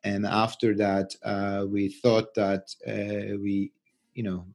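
A middle-aged man with a Turkish accent, giving unscripted interview answers as a scientist, halting and often repeating words. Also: lowish voice, completely flat delivery, vocal fry, darkish tone. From Turkey. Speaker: interview guest, research scientist and physician.

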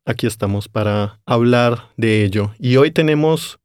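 Clear, explanatory man host. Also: medium pitch, measured pace, darkish tone, no echo, clean studio sound. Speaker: podcast co-host, language teacher. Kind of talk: casual podcast chat.

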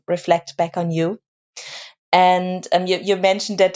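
Woman guest, halting speech. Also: on the low side, a little mellow, dull audio. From Germany. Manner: thoughtful, repeats words as she searches for them. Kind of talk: casual interview chat.

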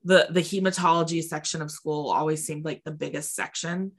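A woman co-hosting a veterinary podcast in casual chat, acting out little imagined quotes in an animated way, with a slightly stuffy nose. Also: low-pitched, brisk. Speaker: podcast co-host; veterinary technician.

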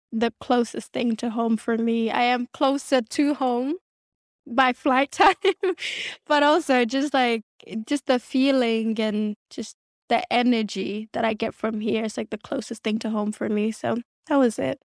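A woman's voice: on the high side, no echo, studio quality. A young woman from South Sudan, raised in Kenya and Australia, speaking as an interview guest, reflective and earnest, with frequent restarts. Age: young adult. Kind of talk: candid conversational interview.

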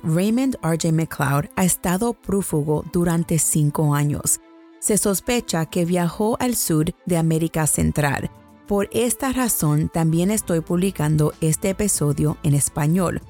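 A woman's voice: on the low side, dark tone. A woman with an American English accent hosting a true crime podcast, reading her script in Spanish in a careful, earnest way. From United States. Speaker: podcast host.

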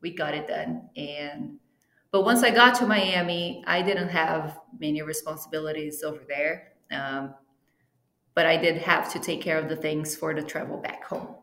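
A woman whose first language is Portuguese chats as an interview guest, slipping in fillers and restarting her sentences. Her voice sits a little low and rings in a noticeably echoey room. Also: normal speed, a bit bright. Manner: chatty, with frequent fillers and restarts. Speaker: interview guest, team travel planner. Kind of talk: casual interview chat.

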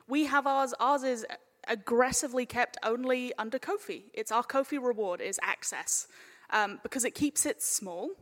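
A woman's voice: a little high, moderate pace, fairly bright tone. A woman chairing a live panel in a playful, chatty, informal way. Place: large indoor hall at a live panel event. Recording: echoey hall, live event.

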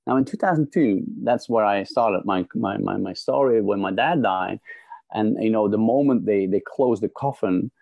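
A middle-aged man from Belgium, a guest on a podcast interview, telling a story in an animated way and often repeating words as he starts a sentence. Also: fairly low pitch, normal speed, vocal fry, no room sound. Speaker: guest, motivational speaker. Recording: dry, dull lo-fi sound.

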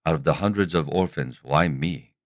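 An actor playing a grim Korean gangster who recounts his past in a measured, brooding dramatic monologue. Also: a bass voice, dry recording. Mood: grim, reflective.

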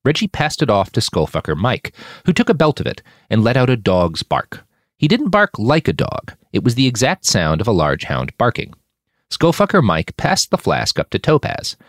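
A man's voice: mid-range voice, wildly swooping pitch, normal speed, dry recording, clean studio sound. A man reading a fiction story aloud as a dramatic audiobook narrator. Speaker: author narrating his fiction podcast.

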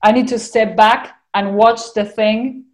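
A woman's voice: fairly high pitch, fairly even intonation, measured pace, a little mellow. A woman with an Argentinian accent, a podcast guest talking casually and earnestly about her spiritual life, rambling and dotting her talk with "you know".

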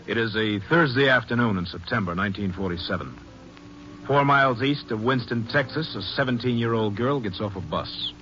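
A man acting as the sober, factual narrator of a police case in a scripted 1950s radio drama, setting the scene in a measured way. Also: mid-range voice, close to an everyday voice. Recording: dull old radio recording. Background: music.

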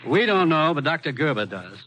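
Firm, businesslike agency boss. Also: male voice, fairly high pitch, sing-song, fairly quick, pushed and bright, highs cut off. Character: brisk, authoritative spy agency commissioner, male boss. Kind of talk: 1950s radio drama acting. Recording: vintage radio recording, slight noise.

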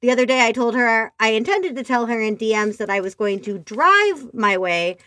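A woman with a nasal voice talking casually on a podcast, expressive and animated as she tells stories. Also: a little high, moderate pace, no echo. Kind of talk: casual chat. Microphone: remote call.

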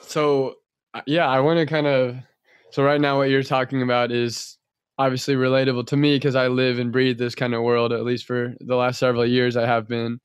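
A man's voice: mid-range voice, flat delivery, moderate pace, clear, resonant tone, no room sound. A man hosting an interview, asking questions in a hesitant way with many fillers. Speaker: podcast host.

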